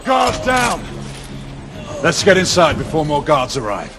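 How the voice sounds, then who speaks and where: A man's voice: on the high side, wildly swooping pitch, unhurried, noisy background. A man acting a determined, loyal knight in a video game cutscene, with a grave, earnest delivery. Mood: urgent. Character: determined, loyal knight turned ally of rebels. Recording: dramatic music behind the voice.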